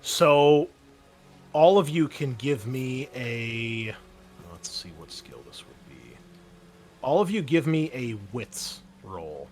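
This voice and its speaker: A man running a tabletop game as game master, explaining and narrating casually in a halting, conversational way. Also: mid-range voice, big pitch swings, faint music behind.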